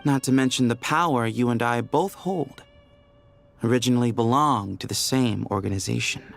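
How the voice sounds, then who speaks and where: Man acting a playful, gravelly young desert heir. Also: medium pitch, normal speed, soft background music, close to an everyday voice. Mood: friendly, persuasive. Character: friendly, streetwise young male desert heir. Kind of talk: video game voice acting.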